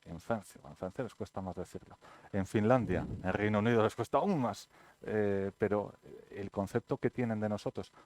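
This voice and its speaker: Lively Spanish man telling anecdotes. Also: lowish voice, normal speed, no echo. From Spain. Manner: lively storyteller, acts out quoted dialogue. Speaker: author presenting his book. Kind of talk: anecdotal storytelling at a book presentation.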